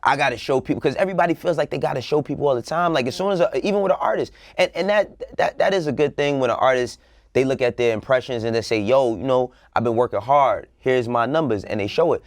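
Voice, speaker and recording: Blunt slangy man, mid-pitched and dry. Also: quick pace, no room sound. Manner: blunt, slangy, profane, repeats himself for emphasis. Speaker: show co-host giving opinions. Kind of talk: casual panel talk.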